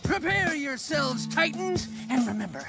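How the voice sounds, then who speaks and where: An actor voicing a bossy, excitable teenage boy superhero leader in a cartoon, commanding and dramatic. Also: sits high, unhurried, gravelly, heavily acted. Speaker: team leader. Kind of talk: animated cartoon voice acting. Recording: music behind the voice.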